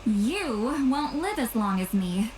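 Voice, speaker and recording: An actress playing a long-lived, intimidating but guarded shaman woman in a video game, halting and sighing, slightly tipsy. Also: fairly high pitch, big pitch swings, a little hiss or hum. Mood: wistful, resigned. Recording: game dialogue under loud music.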